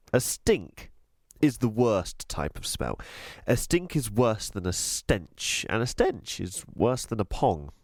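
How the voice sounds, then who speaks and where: A man reads a children's story aloud in a fairly low voice. His pitch swoops widely from phrase to phrase, and he takes his time, leaning on words with deliberate emphasis. The sound is dry, with very little room. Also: dry recording. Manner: expressive, deliberate, emphatic delivery. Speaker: teacher reading aloud to a school class. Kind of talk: scripted storybook narration.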